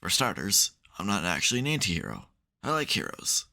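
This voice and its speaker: A man's voice: sits low, wildly swooping pitch, fairly quick, gravelly, bright, forceful tone, exaggerated voice. A man playing a smug, theatrical supervillain who secretly cares, in a dramatic, wry, chatty way for an ASMR roleplay. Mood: flustered, fond.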